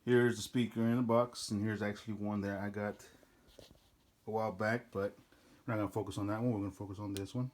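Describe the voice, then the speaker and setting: A man's voice: fairly low pitch, level tone, fairly quick, darkish tone. A man giving a casual, plain-spoken product unboxing in the informal way of a working DJ presenter. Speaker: DJ rental business presenter.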